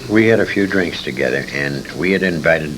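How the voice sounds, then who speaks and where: A man's voice: rumbling low, fairly quick, fairly bright tone. An older man reminiscing in an interview, an emphatic and opinionated storyteller who speaks with feeling. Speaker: interview guest, former radio performer. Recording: noisy amateur convention interview tape.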